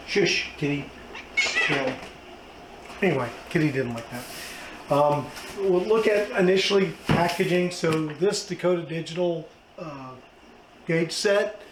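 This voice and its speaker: A hoarse man, hosting a casual unboxing vlog with plenty of fillers. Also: on the high side. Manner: chatty, self-deprecating, many fillers. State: hoarse, losing his voice. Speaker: car channel host. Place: outdoors then indoors at home. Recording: echoey room with background noise.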